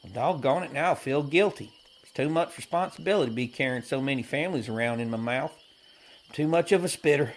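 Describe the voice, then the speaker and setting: A man's voice: medium pitch, fast talker, close to an everyday voice. A man playing a gullible, earnest and stubborn simpleton cowboy in a western audio comedy, talking in a naive rural American drawl. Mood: rueful. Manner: folksy, naive, ungrammatical drawl.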